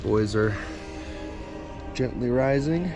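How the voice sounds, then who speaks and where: A man at a high-altitude tent camp talking casually to the camera, relaxed and wry. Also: slow pace. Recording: slightly dull sound with wind noise. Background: background music.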